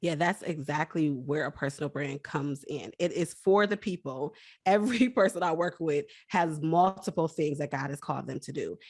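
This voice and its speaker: A woman's voice: deep voice, moderate pace, a little mellow, breathy edge, dry recording, clean studio sound. A woman guest on a faith-based interview, speaking about personal branding in an earnest, encouraging way.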